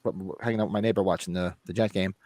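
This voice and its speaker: A man's voice: sits low, steady tone, rapid-fire, dark tone, no echo, studio quality. An American man hosting a casual chat, rambling and blunt with some swearing, his voice recovering after being lost. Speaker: podcast host. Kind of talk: casual chat about horror movies.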